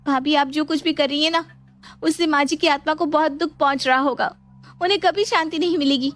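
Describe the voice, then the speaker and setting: A woman acts out a young daughter-in-law in a family moral tale. She is deferential yet crafty, with a high-pitched voice over background music. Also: steady tone, fairly quick, fairly bright tone. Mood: polite, pleading. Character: put-upon young daughter-in-law, polite yet scheming. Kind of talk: acted moral storytelling.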